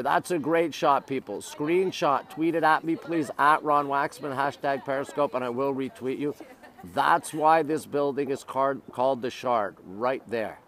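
A middle-aged man chatting casually to live-stream viewers outdoors, in short, repeated exclamatory phrases. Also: mid-range voice. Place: outdoors on city streets by a river.

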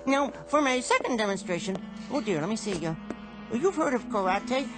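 An actor playing a mild, polite, fussy man with a halting manner in a radio drama. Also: extremely high pitch, big pitch swings, music in the background. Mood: modestly showing off. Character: mild, fussy, meek man showing off new superhuman strength.

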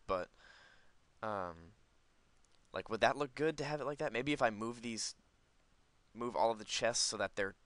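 Clear, bright-voiced man rambling over a game. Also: fairly low pitch, dry recording. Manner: rambling, thinking aloud. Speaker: gaming video host. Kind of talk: casual Minecraft let's play commentary.

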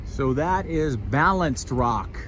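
A man's voice: medium pitch, expressive, slow, a bit bright, a little hiss or hum. A middle-aged man chatting casually into a handheld phone camera outdoors and in a car, playful with his kids. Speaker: family vlogger. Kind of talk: casual travel vlog narration. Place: outdoors in hot desert and in a car. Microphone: handheld phone selfie camera.

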